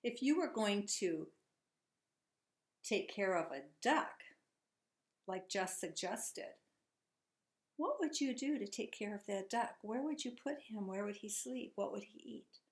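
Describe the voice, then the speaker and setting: A woman's voice: mid-range voice, expressive, a little slow, long pauses. Encouraging woman teacher in an echoey room. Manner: encouraging, asks listeners questions. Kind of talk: children's storybook read-aloud with questions.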